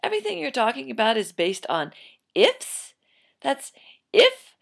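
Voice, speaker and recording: A no-nonsense baker man from a folktale, put on by a woman storyteller. He is blunt and loud, with big swings in pitch. He lays out his common sense point by point, leaning hard on key words. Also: very animated, vocal fry, slightly rough, exaggerated voice. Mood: exasperated, reasoning. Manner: loud, emphatic, slow deliberate reasoning.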